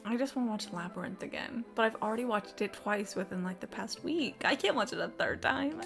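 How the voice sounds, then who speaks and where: A woman's voice: medium pitch, lively intonation, a little fast, vocal fry, music in the background. A woman doing casual, sweary and sarcastic commentary while live streaming a game.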